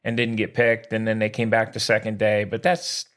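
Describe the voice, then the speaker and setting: A man's voice: fairly low pitch, monotone, normal speed, a bit bright. An American man co-hosting a sports podcast, chatting casually in a slangy, exclamatory, teasing way.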